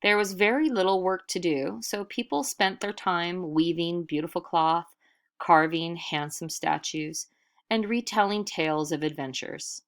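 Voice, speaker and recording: Low-voiced woman reading a storybook aloud. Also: measured pace. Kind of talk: expressive picture-book read-aloud narration.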